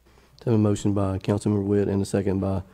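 Mellow, even-toned man chairing. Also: on the low side, fast talker. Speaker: mayor chairing the meeting. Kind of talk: formal procedural chairing. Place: council chamber. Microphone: desk microphone on the dais.

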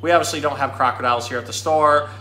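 Male zookeeper presenter, thirties, informal and explanatory, bright forward voice, roomy sound. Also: on the high side, steady tone, fast talker. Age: 30s. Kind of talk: casual educational talk and storybook reading. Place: small indoor room at a pet store. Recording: echoey room.

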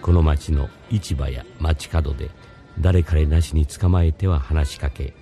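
A mysterious, courteous philosophy teacher narrates a radio drama. His voice is extremely deep and very dark, with soft music underneath. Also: fairly even intonation, measured pace. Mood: solemn, explanatory.

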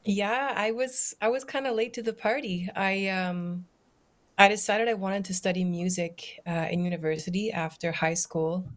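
A woman's voice: medium pitch, fairly even intonation, moderate pace. A woman in her forties telling her story casually as an interview guest on a video call from home. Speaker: guest singer and pianist. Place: book-lined room at home, indoors. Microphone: laptop or webcam video call.

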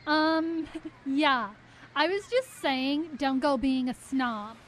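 High whiny young diva played by a woman. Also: slow, slight background noise. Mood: snotty, offended. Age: young adult. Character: vain, whiny, dim young beach-show diva. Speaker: student radio actor. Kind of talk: live student radio comedy acting. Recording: live radio studio, music behind.

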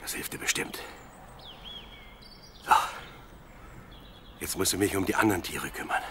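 An actor playing a plucky, devout young shepherd, the youngest brother, with earnest, dramatic delivery in an audio drama. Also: male voice, low-pitched, unhurried. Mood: relieved, tender. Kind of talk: German audio drama acting. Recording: clearly noisy radio play with sheep sounds.

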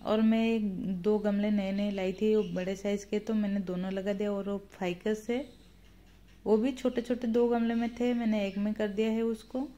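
Woman showing off her potted plants, mellow easygoing chat, phone mic outside. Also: medium pitch, fairly even intonation, highs cut off. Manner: chatty, unhurried narration while filming plants. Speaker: gardening vlogger. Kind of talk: casual home garden tour. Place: home garden and veranda outdoors.

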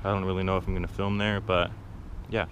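A young man talks to a camera held at arm's length, low-voiced and easygoing, with filler words everywhere. Clear street noise sits behind him. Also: flat delivery, fast, a bit bright. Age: young adult. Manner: rambling, filler-heavy, easygoing. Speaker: vlogger. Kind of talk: casual vlog to camera. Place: outdoors on a city street; inside a parked car. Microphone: handheld camera at arm's length.

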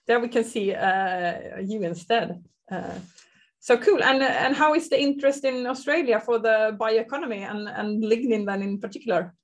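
A woman's voice: on the high side, moderate pace. Young Swedish-accented woman hosting a webinar. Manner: friendly, hesitant fillers. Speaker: webinar host. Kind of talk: moderating questions. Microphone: video call.

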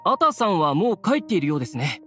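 An earnest young townsman speaks politely and formally in an anime game. His pitch moves a lot, and music plays underneath. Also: very animated, fast, bright, forceful tone, full character voice. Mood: concerned. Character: earnest, courteous young townsman organising an event.

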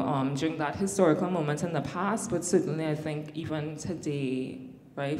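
A woman's voice: low-pitched, warm tone. A woman in her thirties with a Trinidadian accent, speaking as an academic panelist in a thoughtful way, with many fillers and restarts. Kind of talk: panel discussion. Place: large hall on stage. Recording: echoey hall with long reverb.

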